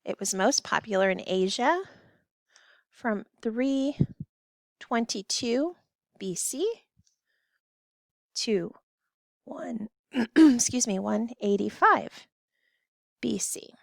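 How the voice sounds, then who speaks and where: Unhurried woman teacher, close dry sound. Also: a little high, expressive, creaky, no echo. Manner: addresses children directly with simple step-by-step directions. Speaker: elementary school teacher. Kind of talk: recorded classroom lesson, step-by-step instruction.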